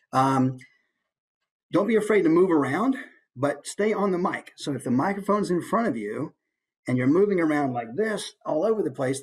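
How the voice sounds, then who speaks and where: Man giving an informal, demonstrative talk. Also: on the high side. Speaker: voice actor giving a presentation.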